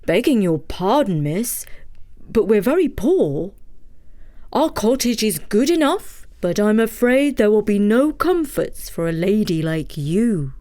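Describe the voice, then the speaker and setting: A woman's voice: medium pitch, big pitch swings, a little slow, dark tone. A woman voicing an old male woodcutter in a storybook tale, speaking humbly and politely. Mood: humble. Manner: folksy, deferential.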